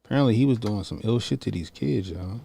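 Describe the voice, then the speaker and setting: A man's voice: lowish voice, fast talker, creaky, dark tone, husky. A man, chatting loosely and profanely while reacting to a video. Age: 40s. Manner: loose, profane, rambling with slang. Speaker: commentary streamer. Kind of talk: casual reaction stream.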